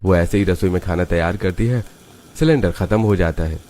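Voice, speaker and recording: A man's voice: sits low, brisk, mellow, slight background noise. A man narrating a scripted family story in Hindi cartoon style.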